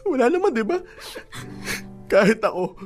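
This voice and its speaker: A man's voice: very high voice, big pitch swings, moderate pace. A man with a Filipino accent acting a hurt, jealous, proud young boyfriend in a radio drama, pressing with insistent questions. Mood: heartbroken, proud. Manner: accusing, insistent, punctuating with sharp questions. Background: dramatic background music.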